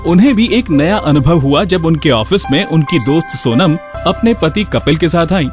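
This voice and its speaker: Higher-pitched male radio host over music. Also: lively intonation, moderate pace. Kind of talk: scripted radio narration. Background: music bed.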